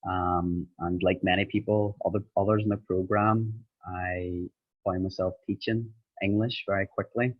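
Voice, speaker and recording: A young Irish man telling his story in an interview, pausing often with ums. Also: low-pitched, little pitch movement. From Ireland. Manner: hesitant, many ums, rambling. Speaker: interviewed student. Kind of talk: casual interview. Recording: dull lo-fi sound.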